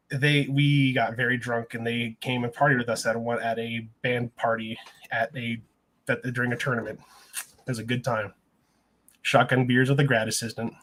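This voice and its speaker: A man's voice: medium pitch, fairly bright tone, low-quality recording. Confident joking male podcast co-host. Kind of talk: casual sports podcast chat.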